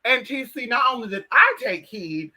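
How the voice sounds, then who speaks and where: A man's voice: very high-pitched, huge pitch swings, moderate pace, bright, forceful tone. An American man chatting casually to livestream viewers in a sassy, slangy, emphatic way, addressing listeners with pet names. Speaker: livestream host.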